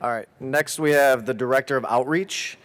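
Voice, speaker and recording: A man's voice: medium pitch, normal speed, fairly bright tone. A man moderating a student election debate, reading rules and roles aloud with the odd filler. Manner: reads roles out evenly, with some "uh" fillers. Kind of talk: formal announcements.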